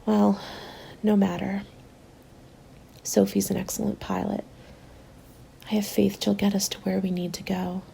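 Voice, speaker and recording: Woman doing a soft breathy survivor in a zombie winter, asmr roleplay diary, mellow voice, bit of creak, music under it. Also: mid-range voice, flat delivery, unhurried, long pauses, a little hiss or hum. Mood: tender, reassuring. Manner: soft, hushed and intimate, often slipping into whispers. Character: weary, hopeful female zombie-apocalypse survivor writing a winter journal. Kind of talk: ASMR roleplay, scripted dramatic narration.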